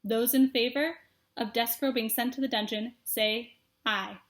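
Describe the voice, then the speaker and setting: A woman voicing a stern, pompous male head mouse in a storybook, declaiming slowly like an official. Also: fairly high pitch, fairly even intonation, lightly acted. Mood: solemn, commanding.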